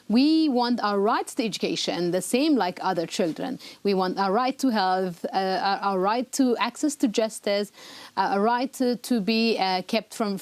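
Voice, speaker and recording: A woman's voice: a little high, a little mellow, no echo. Earnest woman. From Ethiopia. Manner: earnest, explanatory, with frequent hesitations. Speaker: interview guest, rights activist. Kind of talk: broadcast interview answers. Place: broadcast studio.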